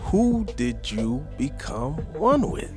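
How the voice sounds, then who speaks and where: A man's voice: fairly high pitch, sing-song, slow pace, music in the background. A man co-hosting a podcast, musing earnestly in a near-sermon way, using casual slang. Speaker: podcast co-host.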